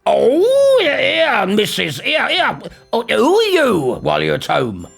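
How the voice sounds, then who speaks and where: A man voicing a scatty, childish, excitable male storybook eccentric who stammers and repeats words frantically. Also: extremely high pitch, slow, vocal fry, slightly rough, soft background music, pitched above the performer's own voice, exaggerated voice. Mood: excited, welcoming.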